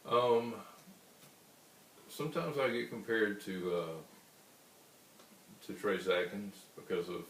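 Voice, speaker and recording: A man with a baritone voice, a singer-songwriter answering interview questions in an unhurried, rambling way. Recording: roomy, distant mic with faint hiss.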